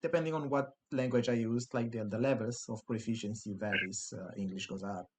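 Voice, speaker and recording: A man's voice: medium pitch, fairly even intonation, brisk, slightly rough. Italian-native man hosting a podcast. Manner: reflective, with frequent restarts. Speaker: podcast host. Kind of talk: casual podcast chat.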